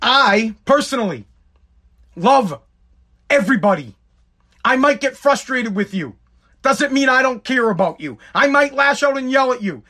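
A man's voice: extremely high pitch, lively intonation, a little slow, pauses often, pushed and bright. A man giving an emphatic, profane monologue to his viewers, repeating himself for effect. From United States.

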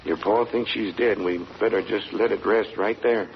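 An actor playing a loyal, soothing, folksy country neighbor with a rural American accent, in an old-time radio drama. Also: male voice, fairly low pitch, fairly even intonation, normal speed, creaky. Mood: somber. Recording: dull, noisy old radio recording.

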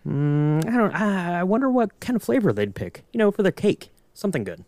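A man's voice: sits high, sing-song, a little fast, a little mellow, no room sound. A man on a podcast panel, chatting casually in an easygoing, joking way. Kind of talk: casual chat.

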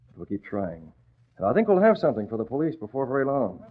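An actor playing a brisk, confident newspaper boss who is secretly a crimefighter, in smooth 1940s radio style. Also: male voice, medium pitch, wildly swooping pitch. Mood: brisk, commanding. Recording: muffled vintage radio drama recording.

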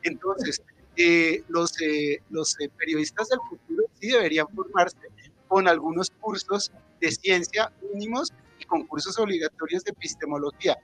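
Male speaker, Colombian accent, notably high pitch, emphatic guest explaining his views, pausing to think, light music underneath. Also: animated, a bit bright, breathy edge, low-quality recording. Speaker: writer and psychologist, guest speaker. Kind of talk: opinionated talk at a book presentation.

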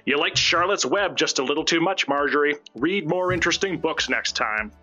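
Man doing a bright, taunting morning radio host. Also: a little high, normal speed, lo-fi sound, soft background music. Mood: gleeful, taunting. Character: gleefully mean male morning-show radio host. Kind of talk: morning radio show reading.

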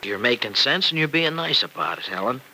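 Earnest farmer, sharp, animated voice. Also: male voice, mid-range voice, brisk, full character voice. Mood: intense, tender. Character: earnest, plain-spoken young farmer, patient but insistent. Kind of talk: old-time radio soap acting. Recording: noisy vintage radio recording.

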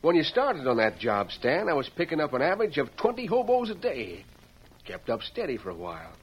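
An actor playing a crooked, bullying small-town sheriff with a gruff, blustering manner, in an old radio drama. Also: male voice, on the high side, wildly swooping pitch, brisk, lo-fi sound, full character voice. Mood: placating. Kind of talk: 1930s radio acting.

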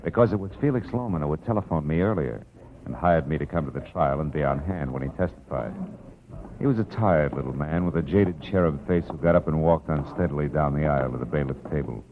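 A noisy, muffled old radio recording carries a hard-boiled private eye. His deep voice narrates in tough, clipped, punchy phrases, in 1940s noir style. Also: brisk. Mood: wry, tense.

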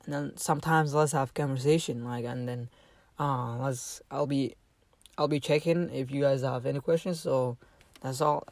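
A man teaching a casual online language lesson, halting with many fillers and restarts and repeating each phrase slowly for learners. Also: mid-range voice, fairly even intonation, no echo.

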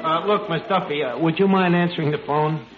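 An actor playing a shabby, scheming, uneducated New York bar manager who mangles words in 1940s radio comedy. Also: male voice, a little high, fairly quick, a loud crowd, natural voice. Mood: wheedling. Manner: slangy, malapropping, hesitant salesman patter. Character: shabby, scheming, uneducated barroom manager, male, streetwise malapropist. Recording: muffled old radio recording, studio audience, hiss.

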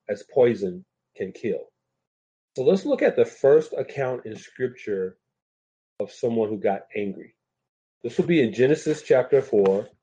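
A man preaching a sermon and reading scripture aloud, sounding congested and a little nasal from a sinus infection, speaking in a measured way and repeating key phrases. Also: mid-range voice, mellow, dull audio.

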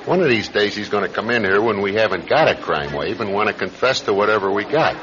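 An actor in a radio drama playing a weary, dismissive police sergeant, gruff, sardonic and colloquial. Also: male voice, fairly low pitch, expressive, fast, a crowd in the background, natural voice. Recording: dull lo-fi radio recording.

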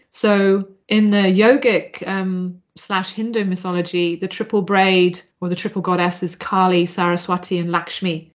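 A woman's voice: on the low side, highs cut off. A woman from Zambia speaking as a podcast guest, explaining her spiritual practice in a reflective, discursive, earnest way. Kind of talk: reflective interview talk about spiritual practice.

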